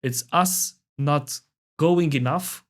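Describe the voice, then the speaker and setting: A man's voice: fairly high pitch, slow. Male podcast host. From Romania. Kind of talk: interview host.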